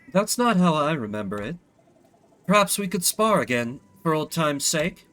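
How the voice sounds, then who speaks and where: A man voicing a gracious, nostalgic royal ruler in a video game, polished and warmly formal. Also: fairly high pitch, sing-song, a little slow, full character voice. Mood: fond, nostalgic.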